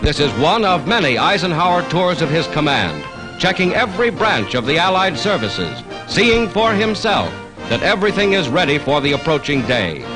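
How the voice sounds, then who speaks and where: High-pitched, animated 1940s American newsreel man. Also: moderate pace, poor audio. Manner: declamatory, formal announcer delivery. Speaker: newsreel narrator. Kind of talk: 1940s newsreel narration, scripted. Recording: vintage 1940s newsreel soundtrack. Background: orchestral music.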